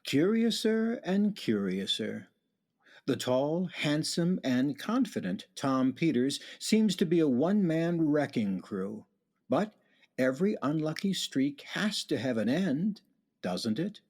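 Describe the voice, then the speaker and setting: A man's voice: fairly high pitch, big pitch swings, slow, darkish tone, breathy edge, heavily acted. A man acting as the arch, teasing narrator of a radio play, with theatrical delivery.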